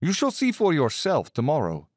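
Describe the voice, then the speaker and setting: A suave, sweeping aristocratic villain general. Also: male voice, a little high, wildly swooping pitch, a little mellow, dry recording, clean studio sound. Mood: bland, mock-courteous. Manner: urbane, bland, condescending, theatrically unhurried. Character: suave, cold-blooded aristocratic Cossack general, fanatical big-game hunter. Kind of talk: audio drama acting.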